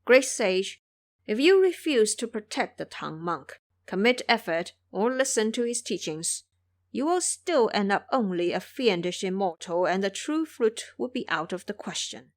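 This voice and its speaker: A woman's voice: medium pitch, sing-song, unhurried. A woman voicing a wise old male dragon king in an audio drama, formal, earnest and persuasive. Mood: instructive. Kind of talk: audio drama character acting.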